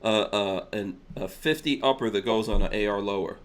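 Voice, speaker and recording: A man's voice: on the low side, normal speed. A man hosting a podcast, talking in a casual, conversational way. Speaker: podcast host.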